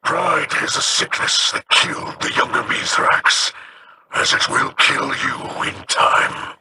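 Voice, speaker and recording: A man's voice: mid-range voice, fairly even intonation, slow pace, noisy background, close to an everyday voice. An actor playing a solemn, formal male alien leader who gives grave commands.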